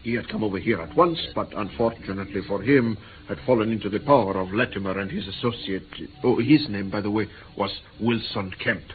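A man's voice: fairly low pitch, fairly quick, close to an everyday voice. An actor playing a shaken, earnest male interpreter who tells his ordeal hesitantly in a vintage radio drama. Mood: earnest recounting. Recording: tinny, noisy vintage radio recording.

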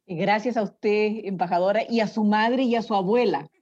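A woman's voice: mid-range voice, moderate pace, darkish tone, slightly rough, dry recording. A woman moderating a panel, polite and a little hesitant. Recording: dull, dry lo-fi sound.

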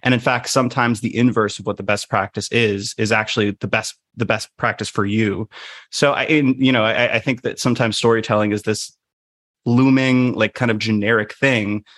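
A fairly low-voiced man hosts a podcast conversation, musing through his questions with "uh" and "like" as he goes. His voice is dry and close to the mic. He sounds easygoing and curious. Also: fairly even intonation, normal speed, a bit bright, no echo. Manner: thinking aloud, hesitant, with fillers and analogies. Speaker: podcast host. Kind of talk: conversational interview.

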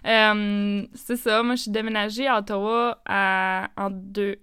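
A woman's voice: mid-range voice, little pitch movement, unhurried, a bit bright, clean tone, no room sound, studio quality. A woman in her twenties from northern Ontario with a Franco-Ontarian French accent, co-hosting a podcast in a playful, giggly way. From northern Ontario, Canada. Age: 20s. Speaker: podcast co-host, marketing manager. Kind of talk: casual podcast chat.

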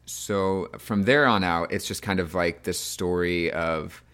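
A man's voice: deep voice, measured pace, fairly bright tone, clean tone. A man hosting a film podcast, talking casually with loose, informal phrasing. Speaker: podcast host.

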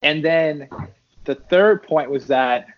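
A man being interviewed as a podcast guest, chatting casually in a loose, bantering, halting way. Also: on the high side, lo-fi sound.

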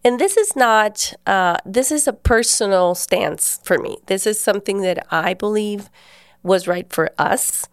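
A woman's voice: medium pitch, a little slow, clear, resonant tone, dry recording, studio quality. Woman hosting a faith podcast. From Guatemala. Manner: earnest and conversational. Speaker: podcast host. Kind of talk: personal faith storytelling.

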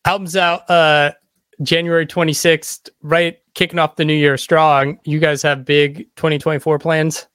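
Higher-voiced man, very dry sound. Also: level tone, normal speed, fairly bright tone, dry recording. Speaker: podcast host. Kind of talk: casual interview chat.

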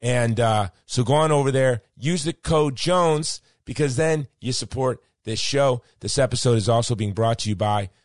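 Hoarse man hosting a podcast. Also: medium pitch, normal speed, hardly any pauses, dry recording, clean studio sound. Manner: rambling, personal storytelling with frequent ums. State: losing his voice, hoarse. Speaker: podcast host. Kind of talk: casual monologue wrapping up a podcast.

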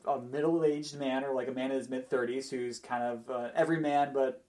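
Man, average pitch, dry humor, measured, anecdotal podcast commentary. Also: steady tone, a little mellow. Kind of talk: casual anecdotal chat.

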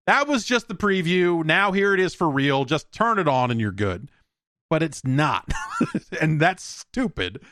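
A man's voice: on the high side, sing-song, a little slow, a bit bright, dry recording, studio quality. A man hosting a podcast, talking casually and conversationally on his own about video games, rambling with wry asides and acted-out imagined exchanges. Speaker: podcast host. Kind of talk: casual solo video game podcast talk.

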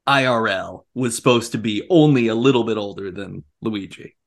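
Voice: medium pitch, moderate pace. A podcast co-host recapping a film in casual, joking conversation.

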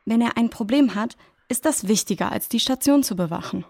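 A woman's voice: a little high, lively intonation, a little fast, a little mellow. A woman playing a worried, resolute jungle station worker in a children's audio play, with an earnest, natural delivery. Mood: worried, insistent.

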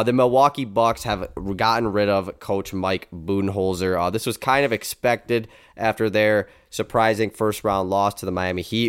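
A young man hosts a sports podcast in a stuffy, congested voice. His tone sits a little low and sounds smooth and clean. He rambles casually with fillers, recorded dry and close. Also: fairly bright tone, no echo. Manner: upbeat, rambling with fillers and restarts. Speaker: podcast host.

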